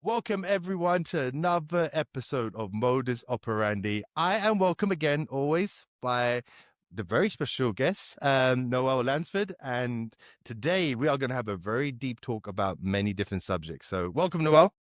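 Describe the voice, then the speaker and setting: A man hosting a podcast, greeting his guest and asking questions in a friendly, halting way with many fillers. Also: medium pitch, lively intonation, dry recording, studio quality. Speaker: podcast host. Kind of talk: casual podcast interview.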